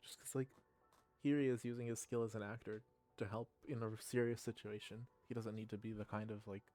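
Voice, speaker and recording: A man casually commenting as he plays through a story game, thinking aloud in halting, musing remarks. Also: lowish voice, flat delivery, a little mellow. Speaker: let's play host. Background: game music.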